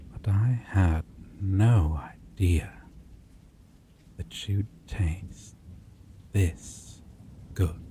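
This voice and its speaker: A man voicing a possessive, predatory male vampire in an ASMR roleplay, teasing and intimate, with low, purring chuckles and sudden whispers. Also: a little hiss or hum. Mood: savoring. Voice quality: low, slow, purring delivery with soft chuckles.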